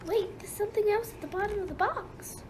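A woman's voice: very high voice, brisk. A woman playing a curious young bride in a theatrical radio play. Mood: curious, eager. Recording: noticeable background noise.

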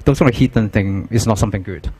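A young man from Hong Kong with a Hong Kong accent, Cantonese being his first language, speaking as a guest artist in an interview at an art fair booth, halting and hesitant, on a handheld mic. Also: lowish voice, slightly rough. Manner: hesitant, halting, soft-spoken. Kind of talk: casual interview talk.

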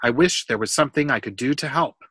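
A man's voice: medium pitch, fast talker, fairly bright tone, husky, no echo. Man reading as a curious, eager boy. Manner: inquisitive, earnest. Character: curious, eager ancient Greek boy in a storybook.

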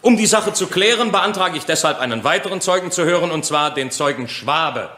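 A man's voice: fairly high pitch, expressive, brisk, sharp tone. A man playing a shrewd, methodical defence lawyer in a German crime radio drama, questioning formally and pointedly. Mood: measured, firm. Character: shrewd, insistent male defence lawyer, methodical cross-examiner. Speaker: actor in a courtroom radio play.

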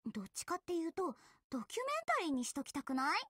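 A playful, wry teenage idol girl, breezy and teasing. Her voice is bright and dry-recorded, with lively swings of pitch and quick-witted phrasing. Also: dry recording. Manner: teasing, breezy, mock-dramatic. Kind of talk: Japanese mobile game voice acting.

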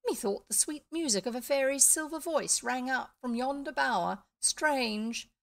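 A woman's voice: sits high, unhurried, creaky, pitched above the performer's own voice, heavily acted. A woman doing a naive dreamy young prince. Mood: excited. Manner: wistful, archaic, excitable. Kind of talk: reading a storybook aloud.

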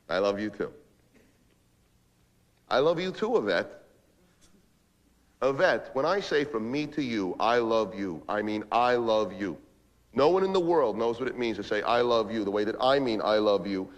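A man's voice: fairly low pitch, muffled recording. An actor playing a manipulative, foul-mouthed male office boss working the phone, badgering and repeating himself in a comic dramatic monologue. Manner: repetitive, badgering, swinging between ranting and smooth-talking.

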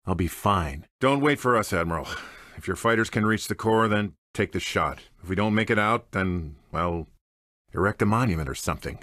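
Cocky american space smuggler for a game, dry wisecracks, really animated pitch, studio dry sound. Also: male voice, normal speed, no room sound. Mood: sardonic. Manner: dry, sardonic, swaggering. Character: cocky, wisecracking roguish space smuggler and pilot, adult man. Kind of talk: video game character voice clips.

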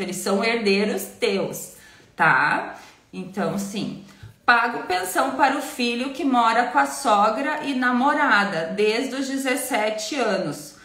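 A woman's voice: fairly high pitch, fairly bright tone, roomy sound. Woman with a cold, chatty. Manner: chatty, explains simply, ends points with a tag question. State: has a cold, nearly voiceless. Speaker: family lawyer answering viewer questions. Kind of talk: casual live-stream Q&A. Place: home office with bookshelves, indoors.

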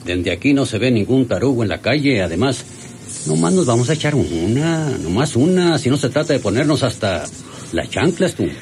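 A brash rustic Mexican bandit in an old radio serial. His folksy, dismissive delivery swings widely in pitch over a faintly noisy recording. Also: very animated, normal speed, a little mellow. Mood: coaxing. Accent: rural Mexican. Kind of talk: old Spanish-language radio serial acting.

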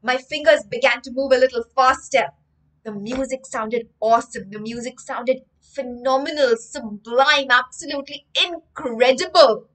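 A woman's voice: sits high, moderate pace, poor audio. A young woman, reading a story aloud on a livestream and explaining vocabulary expressively, in a room at home. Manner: expressive, emphatic reading with frequent word explanations. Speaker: teacher, storyteller. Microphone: webcam framing, livestream.

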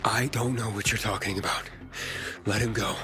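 A man's voice: fairly low pitch, steady tone, normal speed, close to an everyday voice. A man playing an anxious young pilgrim in a video game, urgent and prone to stammering. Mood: defiant. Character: anxious young male pilgrim seeking his sister. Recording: music behind dialogue.

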